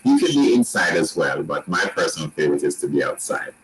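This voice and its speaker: A man with a Jamaican accent, walking listeners through resort rooms and restaurants in a relaxed sales pitch with frequent restarts. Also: fast, a crowd in the background, low-quality recording. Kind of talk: presenting a slideshow tour.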